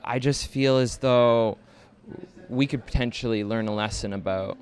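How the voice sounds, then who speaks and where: A man's voice: mid-range voice, moderate pace, a little mellow. A man interviewing film guests for a campus radio station, asking long questions hesitantly with fillers and restarts.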